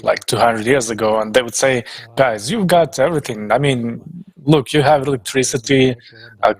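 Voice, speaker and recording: A man's voice: mid-range voice, low-quality recording. A man talking informally on a panel in a conversational, enthusiastic manner.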